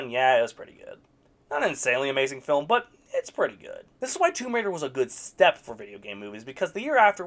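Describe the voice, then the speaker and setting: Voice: medium pitch, fairly bright tone. A movie reviewer giving a casual, opinionated critique, sarcastic and blunt, with occasional swearing.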